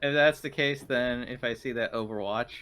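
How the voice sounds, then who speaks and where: A man's voice: medium pitch, normal speed, bright, forceful tone, clean tone. A man speaking casually as a player in a tabletop game.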